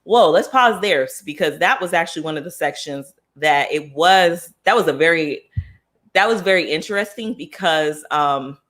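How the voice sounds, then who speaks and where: A man with a fairly high, bright, forceful voice leads a relaxed book club talk. He is from the United States and thinks out loud, restarting sentences and filling pauses. His delivery lurches from excited bursts to slower, considered stretches. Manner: thinks aloud with frequent fillers and restarts; animated, swings between excited and thoughtful. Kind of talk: casual book club discussion.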